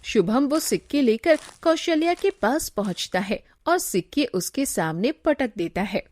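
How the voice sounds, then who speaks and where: A woman's voice: fairly high pitch, lively intonation, measured pace, a little mellow, no echo. A woman narrating a scripted moral story as its storyteller.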